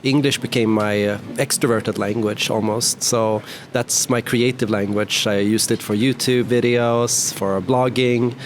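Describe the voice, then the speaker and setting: A man's voice: medium pitch, steady tone, measured pace, hardly any pauses. A man whose first language is Swedish, hosting an interview in a casual way with many "you know"s and "right"s. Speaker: host, interviewer. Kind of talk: conversational interview. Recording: faint background noise.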